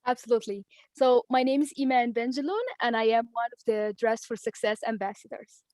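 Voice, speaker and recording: A woman with a Moroccan accent tells her own story with earnest pride. Her voice is high and sounds dry and close over a video call. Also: fairly quick, a little mellow, no room sound. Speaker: ambassador for a women's nonprofit. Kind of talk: personal story at an online meeting.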